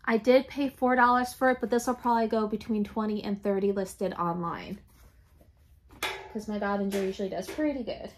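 A woman, chatting casually in a home craft room, slightly raspy and congested from allergies, clearing her throat now and then. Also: medium pitch, steady tone, moderate pace, a little hiss or hum. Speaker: reseller vlogger. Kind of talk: casual shop-with-me haul chat.

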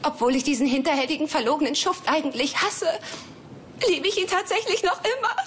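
A woman's voice: high voice, brisk, lightly acted. An actress playing an emotional, unpretentious young neighbor woman in a German-dubbed sitcom. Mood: tearful, upset. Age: young adult. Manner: emotional, informal. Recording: noisy TV soundtrack with ambience.

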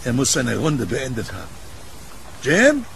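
A man acting as a solitary, genial kiosk keeper in a horror radio play. His voice is gravelly and moves up and down in a lively way, chatty and teasing, with clear storm noise behind it. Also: mid-range voice, unhurried, full character voice. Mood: wary, puzzled. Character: genial solitary male late-night kiosk keeper, fond of his dog. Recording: noisy storm ambience behind voice.

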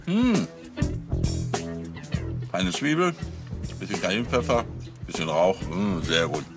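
Pompous policeman, animated delivery. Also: low-pitched, takes it slowly, music in the background, exaggerated voice. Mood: smug. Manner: pompous, smug. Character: self-satisfied, pedantic middle-aged policeman, fussy gourmet. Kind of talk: radio play acting.

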